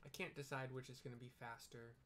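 A man's voice: mid-range voice, level tone, a little fast, fairly bright tone, slight background noise. A middle-aged man giving casual, rambling commentary as he streams a game. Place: small room at home. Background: game music.

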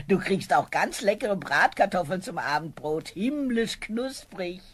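A woman's voice: mid-range voice, very animated, a little mellow, breathy edge, exaggerated voice. An actress playing a doting, fussing peasant mother in a children's fairy-tale radio play, coaxing and full of exclamations. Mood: doting, surprised. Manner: coaxing, exclamatory, drawn-out endearments.

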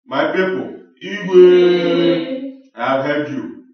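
Man acting a measured Nigerian king, echoey. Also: high voice, lively intonation, exaggerated voice. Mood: formal, decisive. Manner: measured, formal. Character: village king, measured and reasoning leader. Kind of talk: radio drama acting. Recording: dull, echoey, distant from mic.

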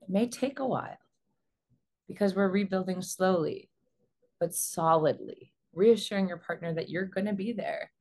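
A woman in her forties speaking as a relationship coach, explaining things to camera in an earnest, measured way. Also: medium pitch, darkish tone. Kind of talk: explanatory advice talk to camera. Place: small indoor room.

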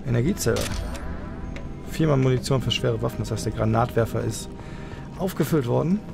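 Guy doing a chill let's play, gravelly creaky voice, game music behind him. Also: mid-range voice, a little fast. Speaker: let's player. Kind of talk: casual gameplay commentary.

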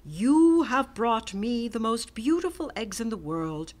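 A woman's voice: a little high, big pitch swings, unhurried. A woman reading the lines of a kind, grand male Easter Rabbit in a storybook. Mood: stately.

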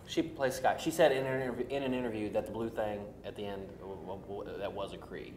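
Halting American man, echoey room. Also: on the low side, steady tone, a little mellow. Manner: hesitant, halting. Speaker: co-host of a geek culture show. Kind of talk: casual panel chat. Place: indoor room set, seated around a table. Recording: roomy sound, faint noise.

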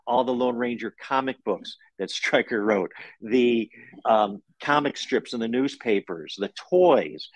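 A man's voice: medium pitch, dry recording, poor audio. Middle-aged man explaining in a dry room. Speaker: author being interviewed. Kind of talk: conversational interview storytelling. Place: room at home, indoors.

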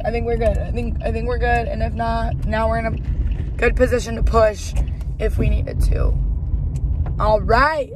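A young woman talking to her phone from the driver's seat, rambling and self-mocking in a fairly high voice. Her chatter is recorded on a dashboard phone, with heavy road and engine rumble underneath. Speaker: vlogger. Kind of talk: casual chatty car vlog. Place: inside a car, driving. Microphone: phone camera on the dashboard. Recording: loud road and engine noise.

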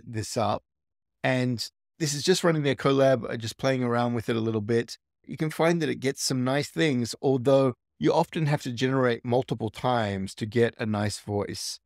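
A man's voice: medium pitch, creaky, no room sound, studio quality. A man hosting a tech tutorial, casually walking through a screen recording and thinking aloud with small restarts.

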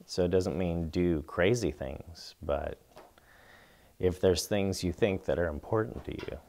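A middle-aged man seated in a small studio, answering interview questions in a thoughtful, measured way with pauses and restarts. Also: deep voice, mellow, a little hiss or hum. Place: indoor studio before a plain backdrop.

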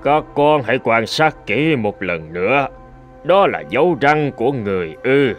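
Old Buddhist abbot, solemn, instructive, lively intonation, cartoon folk tale, music behind. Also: male voice, mid-range voice. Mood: solemn, teaching. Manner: measured, instructive. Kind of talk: animated folk tale dialogue.

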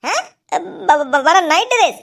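An actor voicing a crude, excitable young cartoon man who stammers and swears in an exaggerated comic style. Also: very high-pitched, fast, heavily acted. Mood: nervous, lustful. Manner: stammering, repeats words, swears constantly. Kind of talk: exaggerated comedy cartoon voice acting.

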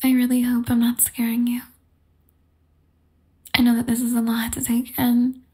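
A woman voicing an obsessive, lovestruck yandere girl in an ASMR roleplay, whispering softly and intimately. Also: fairly high pitch, monotone, normal speed, natural voice. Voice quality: breathy whisper. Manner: soft, intimate, unsettling.

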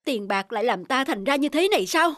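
A woman's voice: sits high, lively intonation, a little fast, a bit bright, dry recording, clean studio sound. A woman voicing a haggard, money-obsessed rich man, self-absorbed and fretful, in a cartoon fairy tale. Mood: dismayed.

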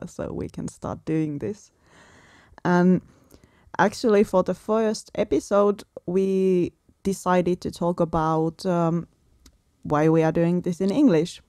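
A woman from Finland with a low voice co-hosts a podcast in earnest, reflective chat and laughs often. Her voice sounds dry and close, with no room echo. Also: darkish tone. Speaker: podcast co-host. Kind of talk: casual chat.

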